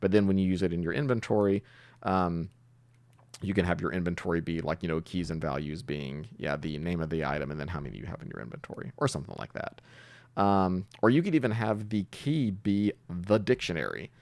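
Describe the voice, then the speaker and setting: Casting: male tutorial host, very low and creaky voice, informal, thinks out loud, close dry sound. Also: no room sound. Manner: thinking aloud, hesitant pauses. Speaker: tutorial host, programming instructor. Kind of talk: casual screen-share coding tutorial, improvised.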